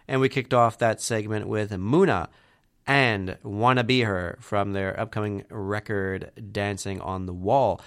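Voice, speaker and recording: Man, radio host, nasal from a cold, lowish pitch, smooth voice, rambling chatter. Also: steady tone, measured pace. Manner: rambling, with many fillers and restarts. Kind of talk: community radio show patter.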